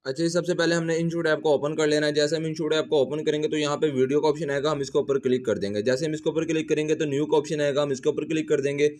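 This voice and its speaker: A man's voice: fairly high pitch, little pitch movement, quick pace, hardly any pauses, a little mellow. A man hosting a casual step-by-step app tutorial, explaining in a repetitive, instructional way. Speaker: tutorial host, teacher.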